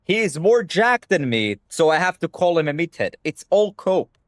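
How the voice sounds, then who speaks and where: A man's voice: a little high, big pitch swings, moderate pace, no room sound, studio quality. A young man whose first language is Hebrew, talking bluntly to his stream chat and swearing freely. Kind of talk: casual livestream rant.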